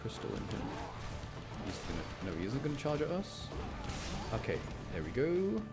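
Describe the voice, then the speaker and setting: Guy streaming a game, low voice, chatty and explainy, clear music behind him. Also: big pitch swings, normal speed. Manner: chatty, explanatory, self-interrupting. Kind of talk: casual live gameplay commentary.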